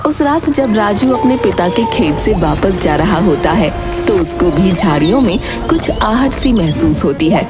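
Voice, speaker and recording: A woman's voice: mid-range voice, lively intonation, measured pace. A woman narrating a scripted horror cartoon story over music.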